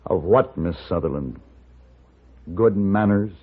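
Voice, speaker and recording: An actor playing a composed, courteous gunfighter for hire in a 1950s radio western, speaking with measured, dry politeness. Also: male voice, low-pitched, animated, husky, heavily acted. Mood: dryly polite. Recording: muffled old radio recording, music underneath.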